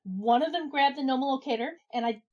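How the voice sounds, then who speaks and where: A woman with a very high voice performs in a comic audio skit. She sounds exasperated and animated, like someone at the end of her patience. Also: a little fast, highs cut off, background noise. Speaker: podcast regular playing herself in a comedy skit. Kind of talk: comedic audio drama acting.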